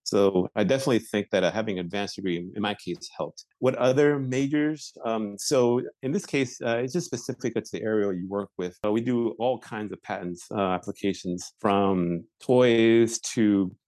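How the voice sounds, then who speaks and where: A man in his forties, a patent examiner, answering interview questions in a measured way with frequent fillers and restarts. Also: on the low side, steady tone, a little mellow, no echo.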